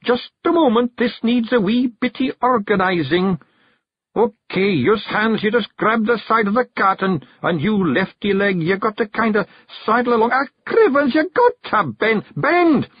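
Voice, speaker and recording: A bumbling, bearded Scottish stranger from a storybook, pitched high with a rough growl. He blusters and bosses, stumbling over his words and correcting himself. The delivery swoops about, and the audio is slightly dull. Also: lively intonation, no room sound. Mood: bossy, exasperated. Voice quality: growly, snarling. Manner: blustering, halting, self-correcting, bossy. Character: bearded bumbling bossy stranger, tiny folk disguised as one man.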